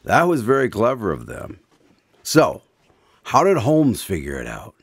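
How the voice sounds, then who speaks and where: A man's voice: fairly low pitch, wildly swooping pitch, measured pace, husky, no room sound, clean studio sound. A man hosting a radio drama, delivering scripted questions and announcements. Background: guitar music.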